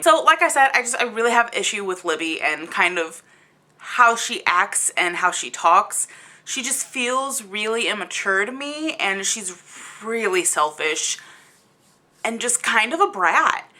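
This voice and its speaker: A woman with a bright, crisp-edged voice at an average pitch talks casually to her channel's viewers as a reviewer. She is opinionated and blunt, sounding exasperated as she stretches and stresses words to make her point. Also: expressive. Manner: exasperated, blunt, conversational with emphatic drawn-out words. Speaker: book reviewer hosting a video channel.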